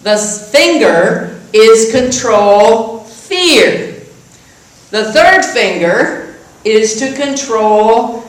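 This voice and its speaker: A woman congregant explaining a calming technique in a halting way, correcting herself as she goes. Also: fairly high pitch. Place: church sanctuary. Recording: very echoey hall, voice from far off.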